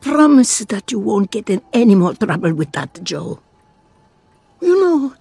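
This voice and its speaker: An actress playing an older immigrant mother, slow and pleading, in a game cutscene. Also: on the high side, a little mellow, husky, full character voice.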